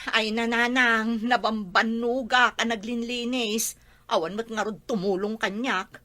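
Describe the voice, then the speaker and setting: A woman's voice: on the high side, steady tone, measured pace, bright, forceful tone. A woman playing a busy, put-upon Filipino mother with an Ilocano accent in a comedy drama. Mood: weary, complaining. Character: busy, put-upon housewife and mother, grumbling about chores.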